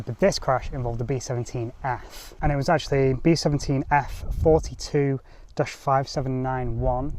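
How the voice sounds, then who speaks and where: A young man speaks to camera from a mountainside, his voice pitched in an ordinary middle range. He rambles easily, filling gaps with ums and doubling back on his words, while a faint hiss and the odd click sit under the outdoor recording. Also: a little mellow. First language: English. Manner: rambling, lots of ums and restarts. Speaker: history vlogger. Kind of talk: casual history talk to camera.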